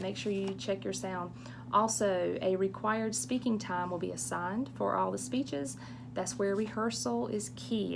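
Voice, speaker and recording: A middle-aged woman with a strong Southern drawl talks students through a course Q&A, friendly and reassuring, with small chatty asides. Her voice has a gravelly edge. A steady hum and noise sit under the recording. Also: medium pitch. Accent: Southern US, eastern North Carolina. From eastern North Carolina. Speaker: college public speaking instructor.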